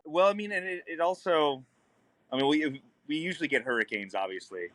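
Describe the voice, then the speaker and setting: A man's voice: on the high side, animated, fairly quick, a bit bright, dry recording. A young man, a guest filmmaker talking frankly and easily in a casual podcast chat. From New Orleans. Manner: frank, easygoing, laughs often.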